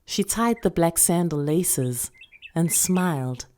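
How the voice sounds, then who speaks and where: A South African woman narrates literary fiction in a low-pitched voice. She reads from a script as an actor would, telling the story in the third person. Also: animated, takes it slowly, a little mellow. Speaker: actor reading a short story.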